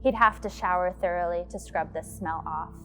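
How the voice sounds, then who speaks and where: A woman's voice: fairly low pitch, normal speed, fairly bright tone. A woman reading a scripted horror story as its narrator. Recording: faint music behind the voice.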